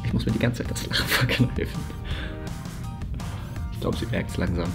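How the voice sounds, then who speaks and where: A man's voice: low-pitched, normal speed, vocal fry. A man in his thirties chatting casually as host of an online video. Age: 30s. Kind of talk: casual vlog chat. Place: indoor office rooms and stairwell. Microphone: handheld or propped vlog camera. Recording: echoey room, loud music behind. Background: music.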